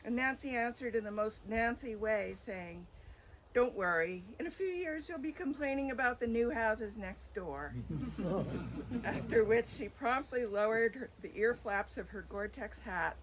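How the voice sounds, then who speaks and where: A woman speaking at an outdoor memorial, reading a remembrance and a poem in a measured, gentle way. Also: fairly high pitch, animated, slight background noise, poor audio. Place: outdoors at a home on an island.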